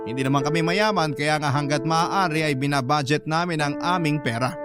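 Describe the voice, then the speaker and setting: A man with a fairly high voice narrates a radio drama as a first-person letter. His delivery is emotive storytelling, set over a loud music bed. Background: loud background music.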